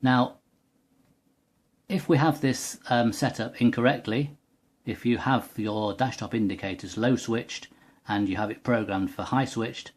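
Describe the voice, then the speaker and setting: A man's voice: medium pitch, unhurried, husky. A man giving an unscripted technical tutorial, explaining methodically step by step as he demonstrates a product.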